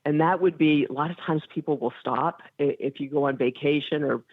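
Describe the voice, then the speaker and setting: A woman's voice: low voice, a little fast, husky, no room sound. A woman psychotherapist guest explaining things in a friendly way over the phone, with many fillers. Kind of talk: phone-in interview. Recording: tinny phone line.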